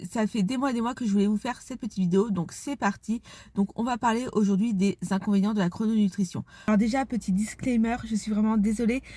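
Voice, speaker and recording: A woman's voice: medium pitch, fairly even intonation, fast, dark tone, no echo. Stuffy-nosed woman chatting to camera. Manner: chatty, with frequent fillers. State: stuffy nose from a cold. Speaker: vlogger. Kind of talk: casual vlog talk to camera.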